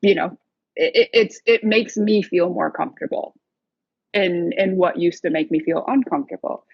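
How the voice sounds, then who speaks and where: Woman in her 30s, husky, kinda hesitant, dry dull lo-fi audio. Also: fairly low pitch, normal speed, no echo. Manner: thoughtful, hesitant, searching for words. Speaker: guest chef. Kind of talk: casual interview chat.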